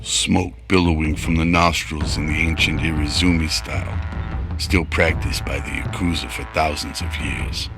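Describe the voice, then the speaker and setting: A man's voice: very deep voice, fairly even intonation, moderate pace, music in the background. A man narrating a scripted audio drama as its storyteller.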